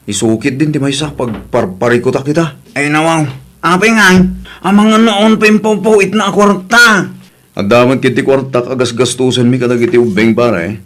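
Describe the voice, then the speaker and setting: Guy playing anxious ilocano dad, earnest, medium pitch, audio drama. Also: fairly bright tone, lightly acted. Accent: Filipino, Ilocano. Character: worried Ilocano husband and father, earnest, anxious.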